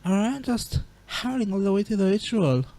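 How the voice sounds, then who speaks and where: A woman playing a gentle, proper, remorseful monster queen and mother in a dramatic comic dub, with formal, refined diction. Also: mid-range voice, animated, normal speed, poor audio. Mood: sorrowful.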